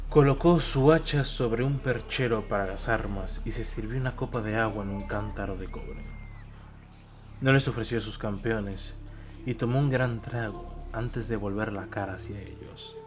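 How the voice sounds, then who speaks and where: A man's voice: fairly low pitch, background noise. A man reading scripted fiction as a dramatic audiobook narrator. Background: prominent music.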